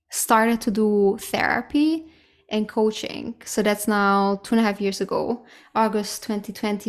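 A woman's voice: mid-range voice, darkish tone. A woman in her thirties hosting a solo podcast, talking candidly and casually, with frequent fillers and the odd swear word. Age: 30s. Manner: candid, casual and lightly profane, with frequent "like" and "right?". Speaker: podcast host. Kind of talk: reflective solo podcast monologue.

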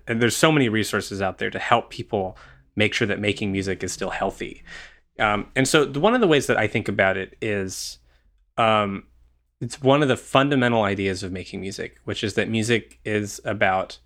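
A man's voice: on the low side, a bit bright. A man in his twenties, an orchestral horn player, answering interview questions in an earnest, easygoing way with light laughs and frequent "um"s. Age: 20s.

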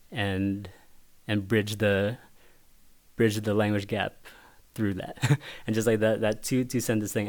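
A man whose first language was Vietnamese, a picture book author talking casually in an interview, thoughtful and halting with many restarts. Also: fairly low pitch, clear, resonant tone.

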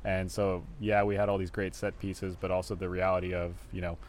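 Director guy talking fast and loose in an interview, monotone, lots of "you know". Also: low voice, slight background noise. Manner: relaxed, rambling with many fillers. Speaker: film director and writer. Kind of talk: casual interview answers. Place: live at a film festival venue.

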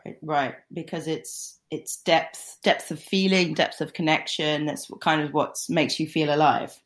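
Woman, thirties, podcast host, enthusiastic and effusive, a little low-pitched. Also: steady tone, unhurried. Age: 30s. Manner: enthusiastic, gushing. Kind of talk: casual interview chat.

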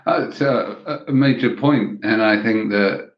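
Casting: thoughtful male podcast co-host, measured delivery, echoey lo-fi audio. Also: lowish voice. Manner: measured, thoughtful, restarts sentences. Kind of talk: conversational podcast talk.

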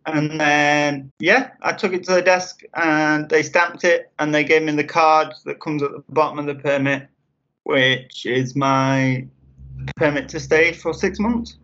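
A man from England answering interview questions casually, halting with frequent ums and restarts. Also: a little high, fairly even intonation, a bit bright. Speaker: interview guest. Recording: dull lo-fi sound.

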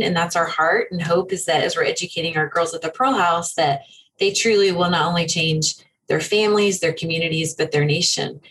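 A woman's voice: on the low side, fairly bright tone, a little hiss or hum, rough recording. A woman hosting an interview, asking questions and responding in an enthusiastic, affirming way. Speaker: interviewer host.

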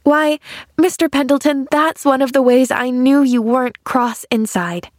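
Woman voicing an eager, high-pitched little girl. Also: measured pace, dry recording, clean studio sound. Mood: sympathetic, eager. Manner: eager, rambling, earnest. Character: cheerful, chatty, naive little girl, eager to please. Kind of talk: audiobook character dialogue.

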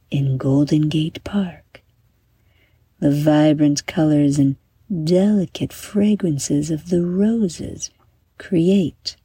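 A woman narrating a scripted bedtime meditation as a guide, soothing and unhurried, letting her phrases trail off into breath. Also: deep voice, creaky, mellow, slightly rough, no room sound.

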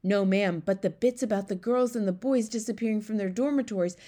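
A woman's voice: medium pitch, fairly quick, warm tone, natural voice. A woman voicing a middle-aged royal maid in a storybook, deferential, flustered and prone to stammering. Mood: anxious, insistent. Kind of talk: storybook character voice.

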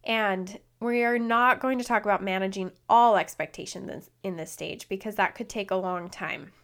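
Woman host, thirties, a little hoarse, earnest and encouraging, mid-pitched. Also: moderate pace, a bit bright. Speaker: podcast host. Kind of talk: solo podcast talk.

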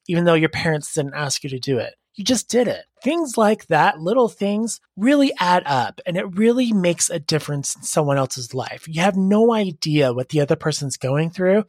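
A podcast host with a raspy voice, telling a heartfelt story and giving advice in a casual, rambling way. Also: mid-range voice, no room sound, studio quality. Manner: earnest, heartfelt, rambling with fillers. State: raspy voice today.

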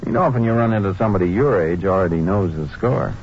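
Cool streetwise ranger undercover as a drifter, real low voice, old muffled radio show. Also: male voice, darkish tone, a little hiss or hum. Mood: casual, probing. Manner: unhurried, tough, slangy, terse. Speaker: lead actor and narrator of a radio drama. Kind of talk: 1950s radio western crime drama acting. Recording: dull old-time radio recording, music behind.

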